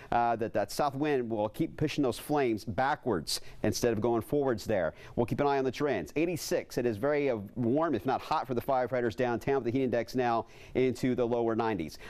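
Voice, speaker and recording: A man's voice: mid-range voice, darkish tone. A man in his forties giving an ad-libbed live weather report from a TV studio. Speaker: TV weather forecaster. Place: TV news studio. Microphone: lapel.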